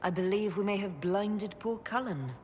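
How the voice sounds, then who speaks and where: An actress voicing a composed, diplomatic elf mage leader in a video game, with measured, polite, cool delivery. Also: female voice, mid-range voice, background noise, close to an everyday voice. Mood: assured, warm.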